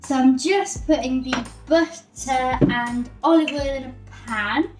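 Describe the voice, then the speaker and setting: A woman's voice: high voice, a little slow, background noise. A woman casually talking through a recipe as a cooking show presenter. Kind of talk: casual cooking demonstration chat. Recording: echoey room, distant mic, music behind.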